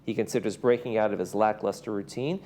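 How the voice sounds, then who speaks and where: A man with a creaky voice presenting casually as a television host, partly reading from notes. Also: on the low side, fairly even intonation, fast talker, a little mellow, a little hiss or hum. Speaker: TV show host.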